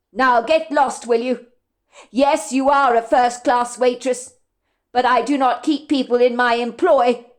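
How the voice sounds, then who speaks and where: A woman's voice: a little high, close to an everyday voice. A woman voicing a stern, dismissive male restaurant manager in a read story, brusque and curt.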